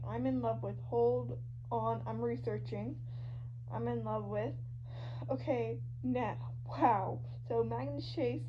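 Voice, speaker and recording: A woman giving an animated, dramatic, slangy reading of online fan fiction, shifting between characters. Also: a little high. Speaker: podfic reader. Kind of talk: expressive fan fiction reading of social media posts. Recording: dull lo-fi audio with loud hum. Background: loud hum and noise.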